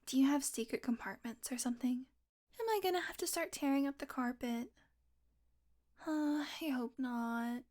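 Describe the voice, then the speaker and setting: A woman playing a sardonic, teasing, predatory vampire with a soft spot, in an intimate, sweary audio roleplay monologue that often drops to a murmur. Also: high-pitched, normal speed, close to an everyday voice. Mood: irritated, snarky.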